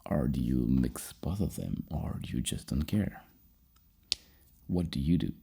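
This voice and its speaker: Very deep, gravelly man speaking softly. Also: animated, a little slow. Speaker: ASMR performer playing a psychologist. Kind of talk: soft-spoken ASMR therapy roleplay.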